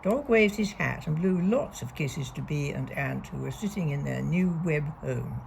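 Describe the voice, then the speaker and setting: Woman storybook reader for young children, very creaky voice, lively melodic swings, emphatic key words, faint background noise. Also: deep voice. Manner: deliberate, expressive read-aloud with emphasised key words. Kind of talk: reading a young children's picture book aloud.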